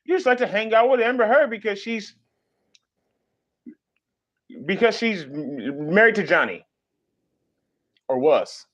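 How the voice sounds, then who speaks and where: A man ranting on a casual live stream, animated and profane, mocking people with sudden high mimicking voices. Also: moderate pace, lo-fi sound.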